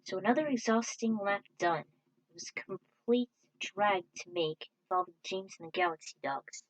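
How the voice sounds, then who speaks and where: A woman narrates informal, scripted video game commentary for her own video. Her pitch sits in the middle range for a woman. The sound is dry, with very little room on it. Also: normal speed, dry recording, low-quality recording.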